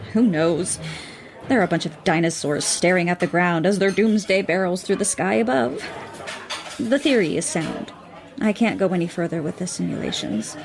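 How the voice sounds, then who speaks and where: An actress playing a brisk, wry woman scientist in an audio drama, wordy and dryly sarcastic. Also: lowish voice, vocal fry. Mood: exasperated.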